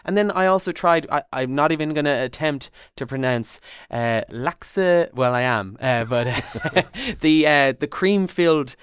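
A man's voice: a little high, lively intonation, measured pace, few pauses, a bit bright, clear, resonant tone, no echo, clean studio sound. A young man with an Irish accent, chatting casually as a trainee co-presenter on a radio show, hesitant with plenty of fillers and restarts.

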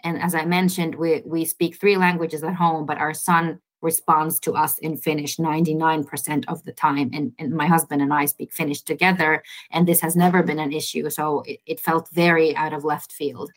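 A woman's voice: sits low, little pitch movement, normal speed, few pauses, low-quality recording. A woman who is a mother and a listener, speaking frankly and with exasperation about her son. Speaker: listener, parent. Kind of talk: interview answer.

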